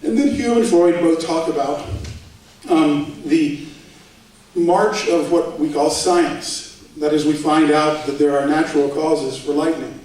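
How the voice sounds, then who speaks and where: A man's voice: on the high side, a little slow, darkish tone. A man speaking at a public debate lecture in a conversational way, with dry asides, in a lecture hall. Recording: echoey hall, voice from far off.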